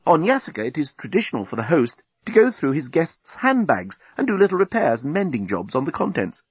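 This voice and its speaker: Man voicing a polite, husky alien corporal. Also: on the high side, sing-song, a little fast, dry recording, voice pushed up. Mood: taken aback. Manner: courteous, earnest. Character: polite, eager, adoring male alien corporal. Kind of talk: audiobook character voice.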